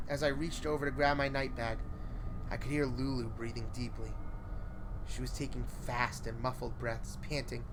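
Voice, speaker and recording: A man's voice: medium pitch, noisy background. A man reading a scripted first-person horror story in a suspenseful, theatrical way. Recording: prominent music under the voice. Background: music.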